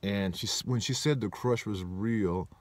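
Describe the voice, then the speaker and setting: A man in his forties from Southern California talks through a personal memory in a low voice. He rambles, stops to correct himself and reflects as he goes, in a casual vlog manner. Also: a little slow. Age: 40s. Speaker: vlogger.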